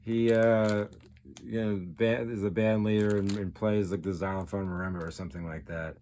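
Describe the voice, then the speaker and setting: A man's voice: fairly low pitch, level tone, normal speed, mellow, slight background noise. A man giving a casual, off-the-cuff show-and-tell as a collector, rambling along with geeky enthusiasm. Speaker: record collector, video host.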